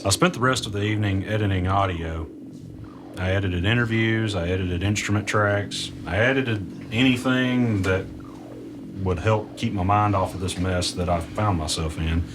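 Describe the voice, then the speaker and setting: A man's voice: low-pitched, normal speed, lightly acted. An actor playing a wary, nervous audio editor telling his uneasy first-person story in an audio drama. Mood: tense, wary. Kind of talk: audio drama narration and dialogue. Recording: clearly noisy background.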